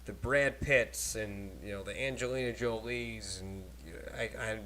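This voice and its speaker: A man hosting a casual podcast on a cheap desk microphone, talking in animated, rambling bursts with frequent laughter. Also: on the low side, moderate pace, slight background noise, low-quality recording. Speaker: podcast host. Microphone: cheap desk microphone at a laptop.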